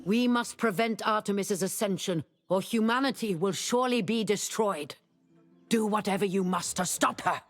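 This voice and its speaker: A woman's voice: mid-range voice, moderate pace, faint music behind, natural voice. A woman playing a wry, commanding sorceress who mentors a young hero in a fantasy video game, speaking formally and with urgency. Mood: grave, resolute. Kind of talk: video game character dialogue.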